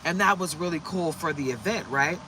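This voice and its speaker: A hoarse woman from the United States doing a casual, upbeat tarot livestream and greeting viewers by name. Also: low voice, lively intonation, brisk, noisy background. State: hoarse from shouting. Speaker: tarot reader.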